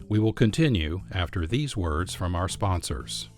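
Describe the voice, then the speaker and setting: A man's voice: sits low, animated, a little slow, a little mellow, faint music behind. A man with an American accent hosting a radio program with scripted documentary narration. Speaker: radio show host.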